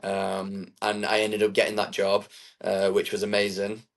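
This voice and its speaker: A young man, answering interview questions in an easygoing, rambling way. His voice sits low and carries a gravelly fry. He leans on fillers and restarts as he talks. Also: monotone, moderate pace. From England. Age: 20s. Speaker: techno DJ, interview guest. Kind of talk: casual interview chat.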